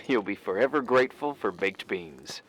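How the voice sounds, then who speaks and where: An actor playing an awkward, absent-minded but helpful man, hesitant and gently joking, in a naturalistic video game style. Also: on the low side, lively intonation, normal speed, slight background noise, poor audio. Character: awkward, absent-minded, eager-to-help workaholic man on the phone.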